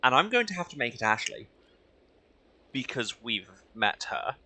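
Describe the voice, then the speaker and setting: A man's voice: medium pitch, very animated, moderate pace, bright, forceful tone. A man giving casual, wry, conversational commentary as host of a video game playthrough. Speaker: let's play host.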